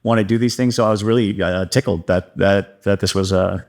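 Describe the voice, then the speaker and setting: A man's voice: deep voice, steady tone, a little fast, darkish tone. A man speaking casually as a guest playwright in an interview.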